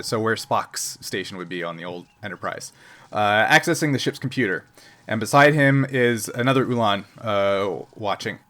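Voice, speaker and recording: A man's voice: on the low side, fairly bright tone. A man working as game master, narrating a tabletop adventure in a casual, improvised, conversational way. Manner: conversational, quick to laugh. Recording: noisy room recording with background ambience.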